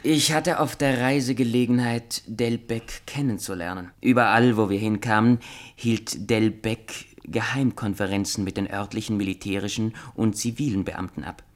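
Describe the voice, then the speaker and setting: Schauspieler als eindringlicher, förmlicher Informant im Hörspiel. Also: male voice, fairly low pitch, fairly even intonation, normal speed, lightly acted. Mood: insistent. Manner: formal, pointed, insistent. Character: insistent male French political informant named Grange. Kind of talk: German radio play dialogue.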